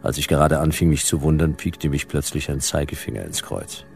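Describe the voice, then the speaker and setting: An actor playing a world-weary, wisecracking private detective, narrating a radio drama in a dry, laconic, ironic way. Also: male voice, extremely low pitch, animated, fairly quick, over music, full character voice. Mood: dry, wry. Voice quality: gravelly.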